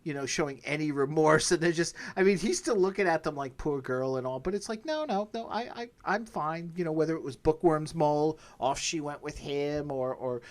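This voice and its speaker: A man's voice: a little high, a little fast, hardly any pauses. A middle-aged man hosting a podcast in a casual, rambling discussion style. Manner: animated, rambling. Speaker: podcast host.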